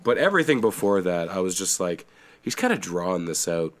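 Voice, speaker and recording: A man's voice: low-pitched, a little fast. A young Canadian man co-hosting a podcast, talking casually, swearing a lot and breaking into silly voices. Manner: animated, swears often, slips into silly accents. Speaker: podcast co-host. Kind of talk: casual chat.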